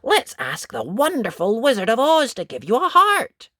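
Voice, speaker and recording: High-pitched, eager little girl put on by a storyteller. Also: huge pitch swings, normal speed, dry recording, clean studio sound, full character voice. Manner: bright, earnest, eager. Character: brave, cheerful, kind little girl.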